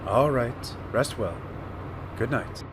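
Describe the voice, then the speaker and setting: A man playing a composed, considerate gentleman doctor who speaks politely and attentively in an audio drama. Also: mid-range voice, slow, lightly acted. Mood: caring, calm. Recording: loud background ambience noise.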